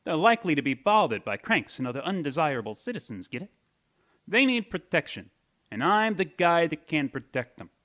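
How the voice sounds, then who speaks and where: A man's voice: fairly high pitch, big pitch swings, a little fast, a bit bright. An actor playing a brash, slangy bodyguard and small-time chiseler in a radio mystery, with cocky wise-guy talk.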